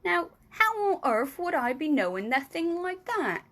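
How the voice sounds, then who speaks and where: Kindly ancient giant, played by a woman reader: loud, unhurried, grandly mangled grammar, pitch rising and falling a lot. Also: big pitch swings, exaggerated voice. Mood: emphatic. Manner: booming, drawn-out, mangled words. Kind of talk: storybook reading.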